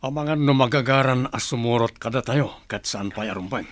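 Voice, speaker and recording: An actor playing a firm, authoritative group leader in an Ilocano radio drama. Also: male voice, medium pitch, normal speed, dry recording, natural voice.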